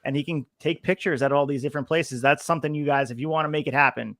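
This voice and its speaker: A man's voice: on the high side, fairly even intonation, quick pace, fairly bright tone, dry recording. A man hosting a podcast in casual chat, upbeat, reading from old notes and asking his co-host questions. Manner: upbeat, asks questions, reads notes aloud.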